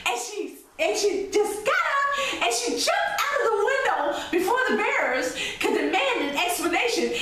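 A woman's voice: extremely high pitch, sharp tone. A middle-aged woman hosting children's storytime, telling stories and moral lessons emphatically and putting questions to the listener. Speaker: storytime host. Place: small indoor room with chalkboard set. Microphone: camera mic a few feet away. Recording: echoey room, distant camera mic, faint noise.

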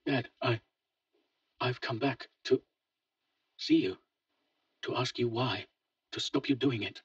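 A man voicing a grieving grown son who speaks quietly and haltingly, with a husky voice. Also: medium pitch, no echo, pitched above the performer's own voice. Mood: pleading. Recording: dull lo-fi, distant mic.

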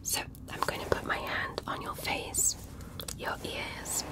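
Woman playing a slow, whispering alien doctor. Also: close to an everyday voice. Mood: gentle, curious. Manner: gentle, slow, methodical questioning. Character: curious, polite female alien doctor examining a human. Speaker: ASMR roleplayer. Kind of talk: soft whispered ASMR medical roleplay.

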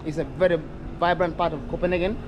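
A man from northern Uganda strolls through an outdoor market, narrating his vlog in a loose, chatty way with many fillers and restarts. His voice sits a little high. Street and traffic noise stays clear behind him. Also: fairly even intonation, quick pace. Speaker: vlogger. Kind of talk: casual walking street vlog.

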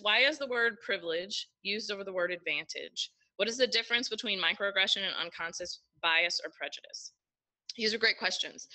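A woman presenting a webinar lesson, explaining terms in a measured way. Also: medium pitch, pushed and bright, poor audio. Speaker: lecturer.

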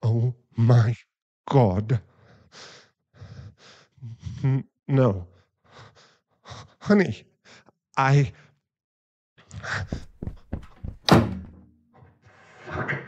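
A man playing a distraught, guilt-ridden werewolf boyfriend in an intimate ASMR roleplay, halting and pleading. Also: mid-range voice, huge pitch swings, mellow, slightly rough, lo-fi sound, heavily acted. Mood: shocked, anxious. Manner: halting, pleading, emotionally fragile.

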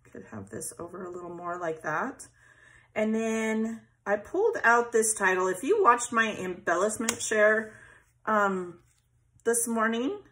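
A woman's voice: mid-range voice, a bit bright. A woman hosting a casual scrapbooking video, chatting and thinking aloud as she arranges pieces at her craft table. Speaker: scrapbooking channel host. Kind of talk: casual craft tutorial chat. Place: craft table at home, overhead camera on hands.

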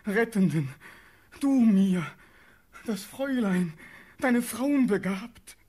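A young man acting a desperate condemned prince pleading for his life in a classical verse radio drama, impassioned and declamatory, swinging from hush to outcry. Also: high voice, breathy edge, full character voice. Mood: pleading, helpless.

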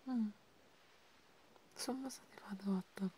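Woman, ASMR host, hushed and breathy, mellow tone, very slow, gentle pauses, close mic, hissy background. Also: medium pitch. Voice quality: soft, breathy, often whispered. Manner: gentle, hesitant, murmured with long pauses. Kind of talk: ASMR roleplay, soft intimate one-sided chat.

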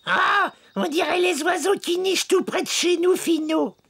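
A man's voice: extremely high pitch, moderate pace, gravelly, fairly bright tone, full character voice. A man voicing a naive, dim goblin sidekick in a children's cartoon, puzzled and hesitant. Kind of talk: animated children's cartoon dubbing.

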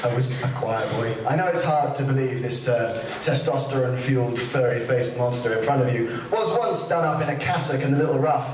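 A man's voice: mid-range voice, brisk, few pauses, slight background noise. A young man with a light, boyish voice runs a rambling, self-mocking stand-up set. He is picked up from across an echoey small club, with the audience's laughter audible behind him. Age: young adult. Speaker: stand-up comedian. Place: small comedy club before a seated audience. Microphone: handheld stage mic. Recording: echoey club recording from a distant camera.

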